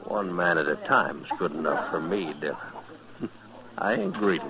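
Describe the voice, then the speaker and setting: Guy doing a cocky american gunfighter, low taunting drawl, old radio western, muffled with saloon chatter behind. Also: normal speed, natural voice. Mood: cold challenge. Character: menacing, cocky male gunman spoiling for a fight. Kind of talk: 1950s Western radio drama acting. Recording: dull old-time radio recording, crowd murmur.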